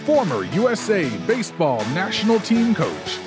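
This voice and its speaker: A man's voice: sits high, wildly swooping pitch, normal speed. A man reading a polished scripted podcast intro in an announcer style. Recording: music bed behind.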